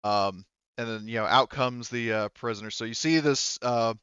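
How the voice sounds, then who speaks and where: A man running a tabletop game session as game master, narrating informally and haltingly with frequent self-corrections. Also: medium pitch, fairly bright tone, clear, resonant tone, no echo, lo-fi sound.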